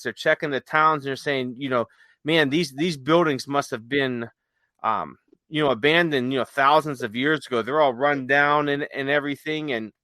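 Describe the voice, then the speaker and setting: A man podcast host talking casually, telling stories in a halting, conversational way. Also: a little high, pushed and bright, no echo. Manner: halting, conversational, retells stories with quoted dialogue. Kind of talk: casual chat, rambling storytelling.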